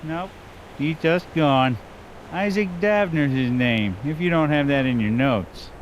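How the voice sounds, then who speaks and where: Guy doing folksy small town lawman in a cartoon game, dodgy and soothing, voice on the high side, bit of noise. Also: a little slow, close to an everyday voice. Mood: matter-of-fact.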